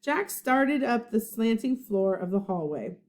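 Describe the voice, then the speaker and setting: Higher-voiced woman teacher reading to young children. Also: sing-song, measured pace, darkish tone. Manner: explaining simply, checking understanding. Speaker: first-grade teacher. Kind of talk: reading a children's book aloud with recaps.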